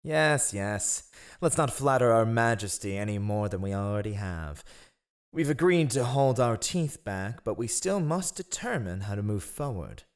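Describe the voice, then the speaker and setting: Male actor as fantasy spy master and royal advisor: haughty, sardonic, lowish voice with very animated pitch. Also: measured pace, clean tone. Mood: cordial, wry. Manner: sardonic, theatrical, sighing disdain. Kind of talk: fantasy audio roleplay acting.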